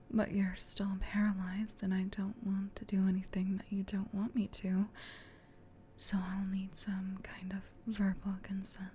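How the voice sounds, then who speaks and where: Woman as a whispering, gentle comforting female demon. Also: medium pitch, a little hiss or hum, lightly acted. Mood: concerned, reassuring. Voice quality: soft, breathy whisper. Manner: tender, reassuring, unhurried. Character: gentle, comforting female sleep paralysis demon, caring and rambling. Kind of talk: whispered ASMR comfort roleplay.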